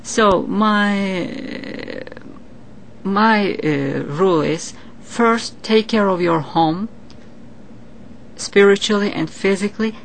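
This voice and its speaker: Slow-spoken woman with a Turkish accent. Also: medium pitch, slight background noise. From Turkey. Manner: earnest, explanatory, with non-native grammar. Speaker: psychic guest. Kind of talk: interview answers.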